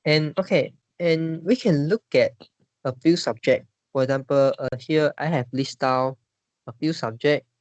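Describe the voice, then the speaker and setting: A man's voice: on the high side, measured pace, a little mellow, no room sound, dull audio. A man with a Malaysian English accent, leading a casual discussion and stumbling through many restarts and fillers. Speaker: discussion leader.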